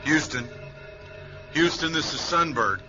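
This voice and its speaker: An actor playing a stern astronaut major who talks in clipped radio protocol. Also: male voice, on the high side, unhurried, lo-fi sound, music in the background, lightly acted. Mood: commanding. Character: stern male astronaut major commanding a spacecraft. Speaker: astronaut commander. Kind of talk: radio drama acting.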